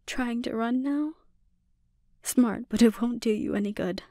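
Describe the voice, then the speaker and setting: A woman playing a menacing, seductive sorceress and obsessive mother in a breathy, often whispered ASMR roleplay, speaking deliberately and with quiet threat. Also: fairly high pitch, dry recording, close to an everyday voice.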